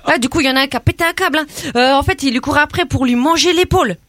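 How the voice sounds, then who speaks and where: A woman's voice: sits high, very fast, a bit bright, dry recording. A woman playing a cheeky, naive young woman from the suburbs, talking in a slangy street manner with a French banlieue accent in a scripted radio comedy sketch. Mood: chatty. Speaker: comedy columnist.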